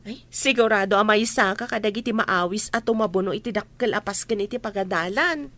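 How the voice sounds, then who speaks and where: An actress playing a chatty, coaxing friend in an audio drama. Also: female voice, medium pitch, brisk, a bit bright, close to an everyday voice. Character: chatty, coaxing woman friend spreading reunion news. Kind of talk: Ilocano audio drama acting.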